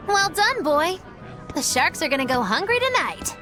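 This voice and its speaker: A woman playing a small, cheeky magical creature with a squeaky, theatrical delivery in an animated game. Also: moderate pace. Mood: gleeful, mocking. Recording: music behind the voice.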